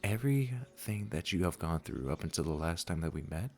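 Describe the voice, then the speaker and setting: A man playing a gentle, wise garden keeper in a comforting audio roleplay, speaking in a soothing, affectionate and encouraging way. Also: sits low, expressive, fairly quick. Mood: tender, encouraging.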